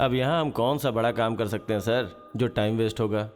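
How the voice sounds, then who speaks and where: An actor playing a curious, respectful fellow prisoner in a film. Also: male voice, lowish voice, moderate pace, big-room sound, close to an everyday voice. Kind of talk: film dialogue.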